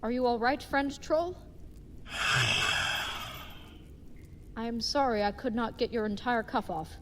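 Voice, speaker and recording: A woman's voice: fairly high pitch, a little fast, in a large hall, heavy background noise, lightly acted. A woman playing an earnest, dim-witted young knight who speaks in formal, chivalrous phrases in an audio drama. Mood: gentle, sincere. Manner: formal, stilted, uncontracted, chivalrous speech.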